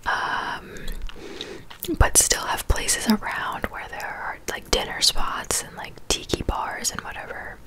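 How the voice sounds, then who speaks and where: A woman in her twenties speaking in a soft, breathy whisper, chatting casually and unhurriedly as an ASMR creator answering viewers. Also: slow. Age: 20s.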